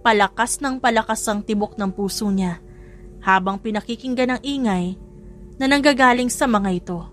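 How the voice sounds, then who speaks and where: Woman doing audiobook love story narration, highish voice, music behind her. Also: moderate pace. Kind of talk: scripted dramatic story narration.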